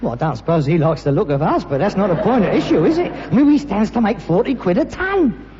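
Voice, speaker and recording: An actor playing an exasperated, pushy, self-important son with business pretensions, with a London accent, in a British sitcom. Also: male voice, sits high, big pitch swings, fast talker. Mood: shrewd. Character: eager, scheming son with big business pretensions. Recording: muffled, noisy old sitcom recording, reverberant.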